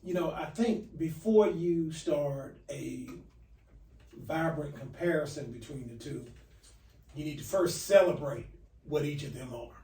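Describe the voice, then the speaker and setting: A man's voice: a little high, unhurried, warm tone. A man from Texas with an American accent, bantering casually as a podcast co-host. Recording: roomy echo, distant from mic.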